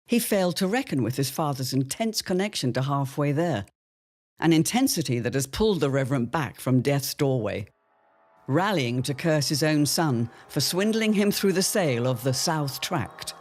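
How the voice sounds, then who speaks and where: Male narrator, slightly high voice, measured, theatrical, old-time announcer delivery, scripted drama serial. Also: very animated, darkish tone.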